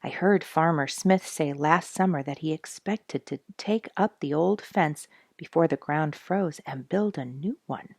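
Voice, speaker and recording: A worried, home-loving male bluebird from a children's storybook, read by a woman. He speaks earnestly and thoughtfully in a low womanly register, with a dry, close sound. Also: a little slow, hardly any pauses, darkish tone, dry recording. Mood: worried, hopeful.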